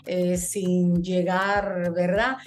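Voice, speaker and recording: A woman's voice: fairly low pitch, flat delivery, extremely slow. A woman hosting a casual chat with a fellow realtor, talking in a friendly but hesitant way with many fillers. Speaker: host, realtor.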